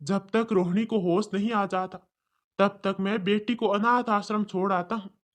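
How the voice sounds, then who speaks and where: A woman's voice: medium pitch, steady tone, measured pace, voice pushed down, close to an everyday voice. A woman voicing a callous, blunt father in a cartoon story. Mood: scheming. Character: callous father who rejects his newborn daughter.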